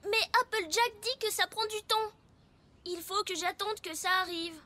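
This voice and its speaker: A woman's voice: very high-pitched, moderate pace, noisy background. A woman voicing an eager, impatient little girl pony in a French cartoon dub, with a childlike, pouty, emphatic delivery. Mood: dejected. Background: music.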